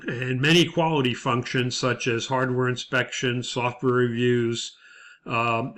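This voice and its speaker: A man giving a lecture as an instructor, explaining methodically in a semi-scripted way with hesitant pauses. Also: on the low side, bright, forceful tone.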